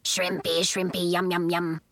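A woman's voice: lowish voice, completely flat delivery, unhurried, a bit bright, no echo. A woman voicing an eager, slightly shy fifth-grade girl in a storybook, musing in a childlike way. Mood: greedy glee.

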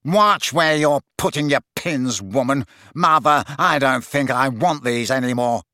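A man's voice: mid-range voice, unhurried, pushed and bright, no room sound, studio quality. A man reading an audiobook, voicing a sneering, spoiled teenage boy. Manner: sneering, snarling.

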